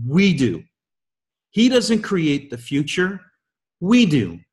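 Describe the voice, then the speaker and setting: A man's voice: on the high side, huge pitch swings, slow pace, darkish tone. A man in his fifties, guest-hosting a leadership call, giving a motivational talk full of emphatic rhetorical questions. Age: 50s. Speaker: guest host.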